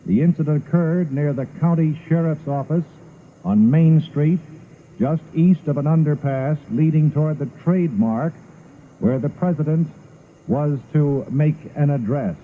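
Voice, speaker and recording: A male network announcer reading a 1960s radio news bulletin in a grave, deliberate way. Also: a little high. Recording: phone-like, faintly hissy 1960s radio recording.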